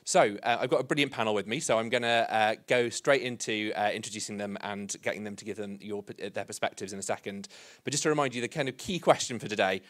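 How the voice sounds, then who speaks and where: A middle-aged man moderates a live seminar panel, talking fast in a lowish voice. He is upbeat and chatty with fillers, speaking into a handheld microphone. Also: a bit bright. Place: museum event space with a live audience.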